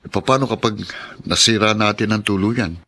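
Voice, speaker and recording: A man's voice: on the low side, fairly even intonation, moderate pace, sharp tone. A man playing a modest, cautious young Filipino student and caring grandson in a radio drama, earnest and a little doubtful. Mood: hesitant.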